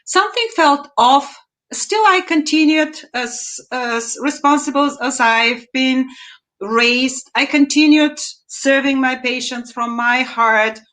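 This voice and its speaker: Measured Bulgarian-accented woman in her fifties. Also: high-pitched, a bit bright. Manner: measured, deliberate, with emphatic rises. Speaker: guest. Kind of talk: interview answers, personal story. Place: home room, indoors. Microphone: video call.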